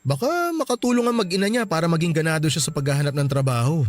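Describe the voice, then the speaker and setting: Man acting a high-voiced, conflicted Filipino husband. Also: expressive, fast, dry recording, soft background music, natural voice. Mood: pleading. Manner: hesitant, pleading, defensive. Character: conflicted young husband, apologetic, loyal to his brother. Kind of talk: radio drama acting.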